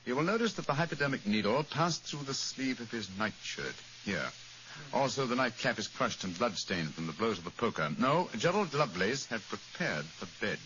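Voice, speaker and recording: An actor playing a keen, commanding British detective in 1940s radio style, speaking in a crisp, deliberate, authoritative manner. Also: male voice, medium pitch, sing-song, bright, forceful tone. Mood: analytical, brisk. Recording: dull, noisy old radio recording.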